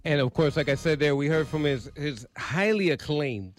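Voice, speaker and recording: A man's voice: fairly high pitch, moderate pace, faint music behind. A man hosting a radio show, talking casually and rambling a bit with fillers. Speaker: radio host.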